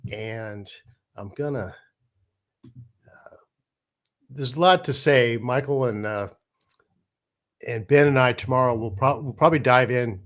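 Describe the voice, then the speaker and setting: Hoarse man hosting with a cold. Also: medium pitch. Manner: halting, pauses and restarts with many fillers. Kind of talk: casual spoken commentary.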